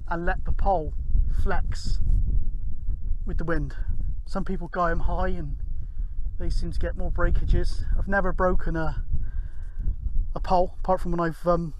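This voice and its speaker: A British man with a fairly high voice narrates a casual vlog in a rambling, matter-of-fact way. He is middle-aged and a little self-deprecating. He is recorded outdoors on a handheld camera, with clear wind noise around his voice. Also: steady tone, a little slow, long pauses, poor audio. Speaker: amateur CB radio vlogger. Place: open moorland hilltop, outdoors. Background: wind and outdoor ambience.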